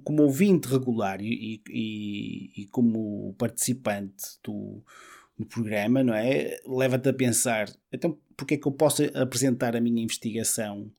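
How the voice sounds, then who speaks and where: A man with a European Portuguese accent co-hosting a podcast, speaking in a halting way with repeated words. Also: mid-range voice, gravelly, dark tone. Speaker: podcast co-host, researcher. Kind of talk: podcast talk.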